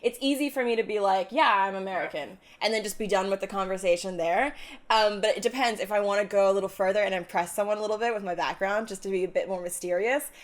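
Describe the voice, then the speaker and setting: Woman, grew up in Hong Kong, co-host, casual talk, chatty, curious questions, medium pitch. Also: quick pace. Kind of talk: casual conversation.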